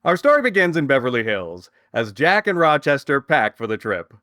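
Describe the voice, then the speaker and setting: Old-time radio announcer, actor: jovial man, forceful bright tone, lively pitch, faint music. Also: moderate pace, no echo, studio quality. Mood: jovial, grand. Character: Don Wilson, jovial male radio show announcer.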